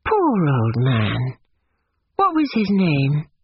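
A man's voice: a little high, huge pitch swings, slow pace, slightly rough, no room sound, lo-fi sound, exaggerated voice. A man voicing a placid, literal-minded middle-aged mother in an audiobook, vague and matter-of-fact. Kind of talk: audiobook character dialogue.

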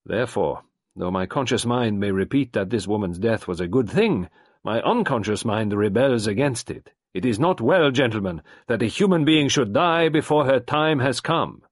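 Man doing a french doctor, earnest, halting, lively pitch, dry sound. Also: no echo, natural voice. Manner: halting, thoughtful, with hesitant fillers.

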